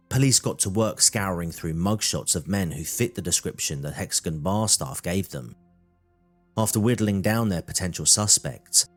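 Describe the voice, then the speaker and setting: A man narrating a true crime story from a script, in a measured documentary style. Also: sits low.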